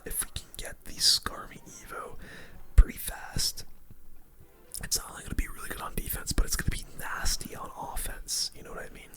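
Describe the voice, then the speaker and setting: A game streamer whispering casual, slangy ASMR commentary in a breathy, unvoiced voice close to the microphone. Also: bright, forceful tone. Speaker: gaming video host.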